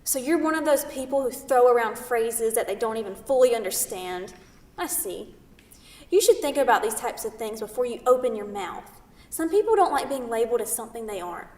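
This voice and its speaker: A high female voice rings from far off in an echoey hall. It is an actress as an indignant, sarcastic young woman in a stage comedy, scolding with sharp emphasis. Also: animated, a little fast, natural voice. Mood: dry, needling. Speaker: actor in a staged play reading. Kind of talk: staged reading of a comedic two-person play. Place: theatre stage, picked up from a distance. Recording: echoey theatre hall, distant microphone.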